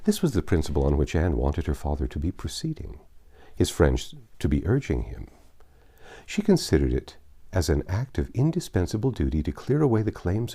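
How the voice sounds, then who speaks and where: A man with a low, mellow voice narrates classic literature. His tone is slightly husky. He tells the story in a measured, formal way, with expressive movement in his pitch. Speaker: audiobook narrator. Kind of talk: scripted narration of a classic novel.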